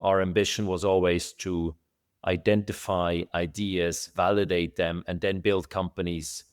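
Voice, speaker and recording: Middle-aged Swiss founder, low and resonant, measured interview guest. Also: male voice, level tone, smooth. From Switzerland. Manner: thoughtful, measured, with fillers and restarts. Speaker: venture studio co-founder, guest. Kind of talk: conversational podcast interview.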